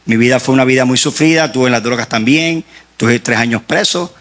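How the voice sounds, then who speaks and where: Bright-voiced Puerto Rican man testifying. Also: medium pitch, brisk. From Puerto Rico. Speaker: guest author. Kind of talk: personal faith testimony.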